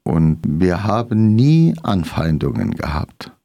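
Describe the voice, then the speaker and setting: Deep gravelly man. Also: very animated, slow pace, warm tone, muffled recording. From Syria. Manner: hesitant, many fillers, non-native German grammar. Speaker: restaurant owner. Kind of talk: interview answers.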